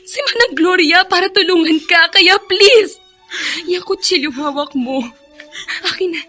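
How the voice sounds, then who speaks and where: A woman's voice: extremely high pitch, measured pace, bright, forceful tone, full character voice. A woman playing a caring, frantic young friend in a Filipino radio drama, pleading urgently and tearfully. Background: dramatic music.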